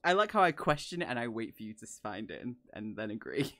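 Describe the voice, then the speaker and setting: A man with a British accent reads fiction aloud in an informal, playful way. His pitch swings freely, and he often stumbles and drops into chatty asides. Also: very animated, quick pace, pushed and bright. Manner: playful, stumbles over words and questions them. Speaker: streamer reading a novel aloud.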